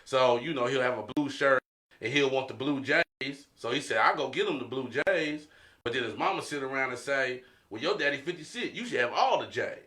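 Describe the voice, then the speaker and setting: A man's voice: medium pitch, animated, a little fast, sharp tone. A man hosting a podcast in casual, slangy chat, often repeating his catchphrases. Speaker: podcast host.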